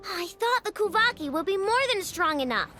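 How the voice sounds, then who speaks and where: A bossy young girl inventor in an anime game. The childlike voice is extremely high and swings widely, with emphatic delivery over background music. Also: sing-song, moderate pace, pushed and bright, exaggerated voice. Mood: defiant. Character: headstrong young girl inventor bossing her robot fleet.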